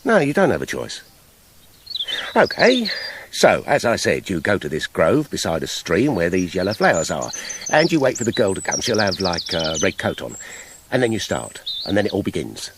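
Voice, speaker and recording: An actor playing an exasperated, bossy woodcutter in a radio play, hectoring and indignant. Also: male voice, deep voice, wildly swooping pitch, normal speed, noisy background, full character voice. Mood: persuasive. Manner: argumentative, indignant, emphatic.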